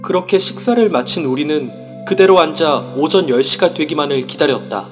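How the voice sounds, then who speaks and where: A man's voice: a little high, lively intonation, fairly quick, music in the background, lightly acted. A man voicing a tense young narrator with reverb. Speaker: narrator and lead character. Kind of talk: first-person scripted narration.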